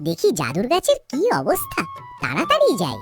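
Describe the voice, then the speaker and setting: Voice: medium pitch, wildly swooping pitch, moderate pace, music in the background. An actor playing a poor, secretive farmer man in a cartoon, greedy for money and given to sing-song exclamations. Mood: secretive, anxious. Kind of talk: animated cartoon story acting.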